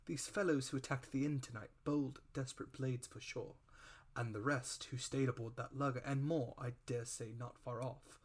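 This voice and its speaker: A man plays a shrewd gentleman doctor in a storybook reading. He speaks in a measured, dry way, level-headed and sensible, in a middle register. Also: medium pitch, fairly even intonation, slightly rough, close to an everyday voice. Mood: firm, cautioning. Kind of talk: dramatic audiobook character voice.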